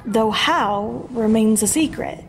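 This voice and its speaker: A woman acting as an earnest fantasy war-council adviser, her voice a little high. She sounds knowledgeable, with clear ambience behind the drama. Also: slow pace, a little hiss or hum. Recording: clear background ambience under voice.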